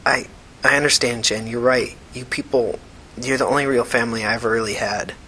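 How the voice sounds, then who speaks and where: A man voicing a tired, anxious but defiant young woman, with an urgent, halting manner. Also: medium pitch, fairly even intonation, sharp tone, a little hiss or hum, natural voice. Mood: weary, guarded.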